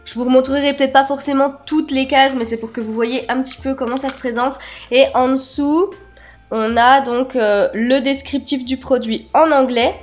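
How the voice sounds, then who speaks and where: A woman chatting casually as she presents an unboxing, slightly hoarse and stuffed up a cold. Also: high voice, fairly even intonation, moderate pace, soft background music. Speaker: beauty vlogger, unboxing host. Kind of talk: casual product unboxing chat.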